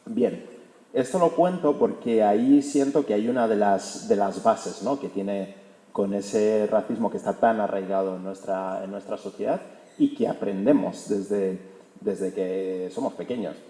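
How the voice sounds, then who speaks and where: A man's voice: lowish voice, a little slow, dark tone. A young man from Spain giving a fluent public talk about his book, often tagging his sentences with a questioning "no". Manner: fluent, peppers speech with "¿no?". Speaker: author presenting his book. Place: auditorium hall. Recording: echoey hall recording.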